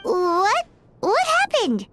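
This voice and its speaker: Squeaky, excitable little fairy girl. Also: drawn-out words, music in the background, heavily acted. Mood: surprised. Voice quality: squeaky, childlike. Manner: bubbly, refers to self in third person. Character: small, excitable, childlike floating fairy companion girl. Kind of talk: video game voice acting.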